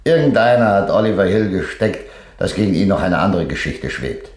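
An actor playing a gruff, dismissive police detective in a German crime radio play, curt and sarcastic. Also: male voice, low-pitched, lively intonation, fairly quick, a little mellow, roomy sound. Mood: sardonic. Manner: curt, sardonic.

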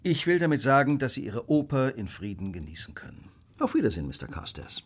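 A man playing a cool, eccentric detective in a German audio drama, brisk, ironic and self-assured. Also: mid-range voice, very animated, slightly rough.

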